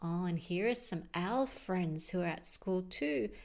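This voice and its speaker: A woman's voice: fairly low pitch, expressive, measured pace, gravelly, a little mellow. A woman reading a picture book aloud to children, adding chatty asides about the pictures and putting questions to her young listeners.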